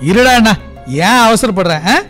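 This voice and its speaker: Smug little brother, cool and taunting, high for a man, radio drama, faint music. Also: normal speed, a bit bright.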